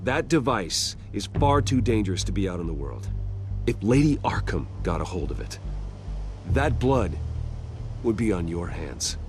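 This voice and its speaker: An actor playing a brooding, earnest vigilante hero in a dramatic video game scene, speaking gravely. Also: male voice, lowish voice, big pitch swings, normal speed, background noise. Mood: stern. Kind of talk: dramatic game cutscene acting. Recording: loud music behind the voice.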